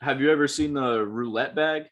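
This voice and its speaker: A man's voice: medium pitch, moderate pace, fairly bright tone. Upbeat young guy. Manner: upbeat, emphatic, slangy. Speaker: podcast co-host. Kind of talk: casual chat.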